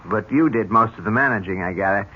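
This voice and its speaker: An actor playing a polite, probing police lieutenant with a deliberate, courteous way of questioning, in old-time radio drama. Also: male voice, on the low side, pushed and bright. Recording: dull, noisy old radio recording.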